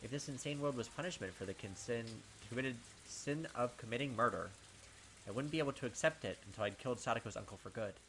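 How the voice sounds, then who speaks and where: A young man with a bright, forceful voice reads a visual novel aloud at a middling pitch. He narrates in a dramatic first person and jumps into excited, swearing asides between passages. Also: fast, slight background noise, low-quality recording. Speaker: let's play gamer.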